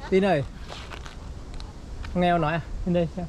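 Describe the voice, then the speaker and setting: A man's voice: high voice, moderate pace, rough recording. A young father, coaxing and reassuring his small child on a mountain trail. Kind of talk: casual family chat. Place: outdoor mountain hiking trail. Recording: steady outdoor background noise.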